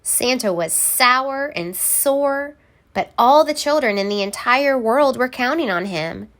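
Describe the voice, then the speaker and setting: Female storybook reader, highish voice, very lively intonation, theatrical and deliberate delivery for young children. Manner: theatrical, deliberate, emphatic word by word. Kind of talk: expressive children's read-aloud narration.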